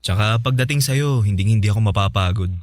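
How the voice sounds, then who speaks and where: Dry, close young Filipino boyfriend played by an actor. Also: male voice, fairly low pitch, fairly quick, dry recording, lightly acted. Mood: tender, caring. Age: young adult. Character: devoted, corny, gentle young boyfriend. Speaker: radio drama actor. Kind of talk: Filipino radio drama acting, conversational.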